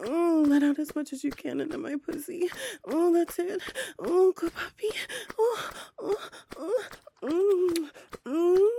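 A woman voicing a dominant, teasing adult female hellhound in an intimate audio roleplay, speaking in a breathy, often whispered voice. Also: high voice, mellow, a little hiss or hum. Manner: slow, sultry, domineering teasing.

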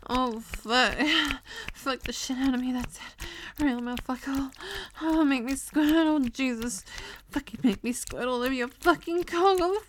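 A woman playing a brash, sexually dominant, foul-mouthed woman in an erotic audio roleplay, with crude, breathy commands. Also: sits high, measured pace, a little hiss or hum. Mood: frenzied, climaxing.

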